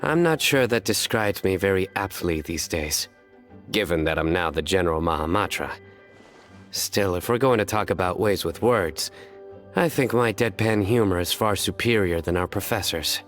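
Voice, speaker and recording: A man voicing a stern, earnest young officer in a video game, with formal, deadpan delivery, over music. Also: deep voice, normal speed. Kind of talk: scripted game dialogue acting.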